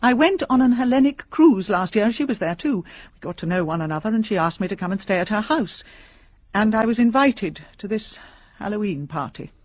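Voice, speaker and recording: A woman's voice: medium pitch, animated, fairly quick, no room sound, close to an everyday voice. Woman playing a shaken British lady novelist, close and dry. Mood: earnest, confiding. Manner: hesitant, confiding. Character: shaken middle-aged lady mystery novelist, talkative, insistent. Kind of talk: radio drama acting. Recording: slightly dull, dry radio recording.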